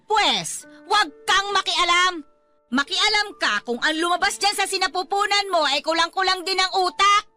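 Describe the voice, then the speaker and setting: A woman's voice: very high voice, moderate pace, bright, forceful tone. An actress playing a harsh, defensive middle-aged mother in a Filipino radio drama, scolding and dismissive, with faint music underneath. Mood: angry. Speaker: Aling Gloria.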